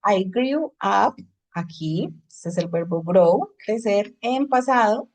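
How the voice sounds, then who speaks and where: A woman's voice: mid-range voice, animated, slow, darkish tone, rough recording. Woman teaching an English class. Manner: explains in Spanish, switches to English for examples. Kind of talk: online class lecture.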